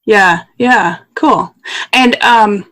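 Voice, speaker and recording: A man hosting a podcast interview, asking gentle questions with halting fillers. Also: sits high. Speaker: podcast host.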